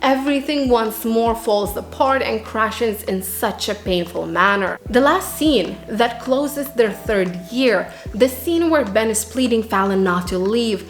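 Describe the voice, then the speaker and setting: A woman narrating a scripted book review in an earnest way, with dramatic emphasis. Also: mid-range voice. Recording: roomy echo, music underneath. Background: background music.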